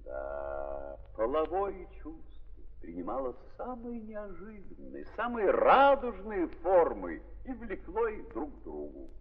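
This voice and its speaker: Impassioned raspy old-time narrator, very emphatic, muffled 1930s recording with hall echo. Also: male voice, on the high side, huge pitch swings, takes it slowly, full character voice. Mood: scornful, impassioned. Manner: theatrical, emphatic, with dramatic repetitions. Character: ironic, impassioned storyteller of a literary drama. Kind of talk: 1930s Russian dramatic stage narration.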